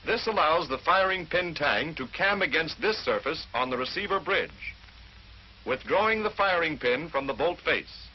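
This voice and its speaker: A man's voice: on the high side, lively intonation, moderate pace. An American man narrating a 1940s instructional film in a scripted, methodical, instructive way. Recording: dull, noisy 1940s film soundtrack.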